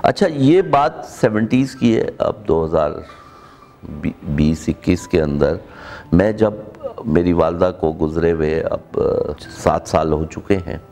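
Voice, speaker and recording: A middle-aged man with a Pakistani accent, presenting in a measured, formal, oratorical way. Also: sits low, lively intonation, warm tone, faint music behind. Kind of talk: introduction and formal recitation of a written speech.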